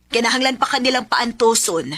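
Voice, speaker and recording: A woman's voice: on the high side, expressive, brisk, bright, forceful tone, no room sound. An actress playing a middle-aged, encouraging mother who gives advice in a radio melodrama, with a Cebuano accent. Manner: motherly, advising. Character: young man's mother, older, encouraging and advising. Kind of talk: Philippine radio melodrama acting. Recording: faintly noisy radio drama recording.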